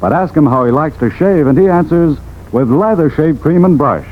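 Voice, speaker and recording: Animated American 1940s radio pitchman. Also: moderate pace. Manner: punchy, emphatic salesman delivery. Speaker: announcer reading commercials. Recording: old 1940s radio, narrow band.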